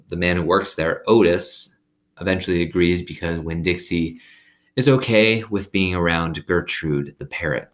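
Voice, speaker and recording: A man's voice: sits low, fairly bright tone. A man reading a children's story aloud expressively, with casual asides to his listeners. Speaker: reader reading a children's novel aloud to students.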